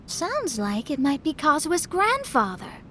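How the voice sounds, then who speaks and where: An actress playing a thoughtful young woman in an anime-style fantasy game, speaking in an observant, gentle way. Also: sits high, huge pitch swings, measured pace, exaggerated voice.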